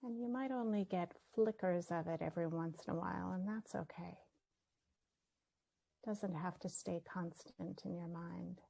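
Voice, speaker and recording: A woman leading a guided meditation, speaking gently and leaving long pauses between her phrases. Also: lowish voice, creaky, husky. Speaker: meditation teacher.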